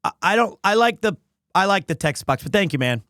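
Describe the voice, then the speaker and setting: A man live streaming a game, chatting casually and excitably with viewers, often repeating his phrases. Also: high-pitched, brisk, no echo, clean studio sound. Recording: music faintly behind the voice. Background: faint music.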